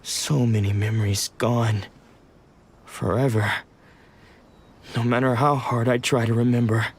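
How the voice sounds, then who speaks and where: A man's voice: medium pitch, level tone, a little slow, breathy edge. An actor playing a weary man who lost his memories, speaking in a brooding, melancholic way. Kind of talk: video game voice acting. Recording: game score behind.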